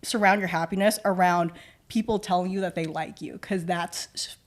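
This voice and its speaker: A young woman with a fairly deep voice for a woman, chatting casually as a podcast guest and acting out what people say to her. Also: normal speed. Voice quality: deeper voice for a woman. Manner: animated, acts out quoted remarks with swearing.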